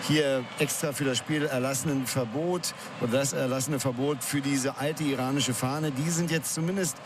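A man's voice: mid-range voice, fairly even intonation, moderate pace. A man giving live football commentary as a reporter in an open stadium. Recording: noisy stadium background.

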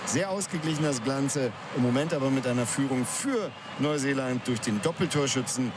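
A man's voice: medium pitch, expressive, normal speed. Man commentating from a noisy stadium. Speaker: football commentator. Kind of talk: live match commentary. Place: open stadium.

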